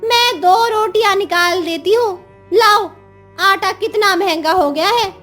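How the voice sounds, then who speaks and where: A woman's voice: extremely high pitch, measured pace, fairly bright tone, soft background music, pitched above the performer's own voice. A woman voicing an irritable, complaining village woman who sells tiffin meals in a cartoon story. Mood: annoyed, scolding. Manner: scolding, complaining.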